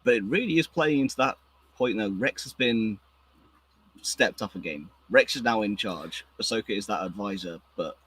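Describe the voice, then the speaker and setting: A hoarse man hosting a casual podcast chat, talking excitedly and rambling with many restarts. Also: lowish voice, normal speed, slight background noise. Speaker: podcast host.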